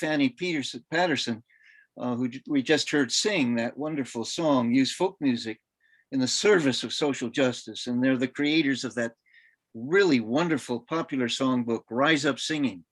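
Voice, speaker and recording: A man with a medium-pitched voice hosts a roundtable. He gives informal introductions in a halting way. The sound is dry, with little room in it. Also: fairly bright tone, no echo. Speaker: roundtable host. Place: online video meeting.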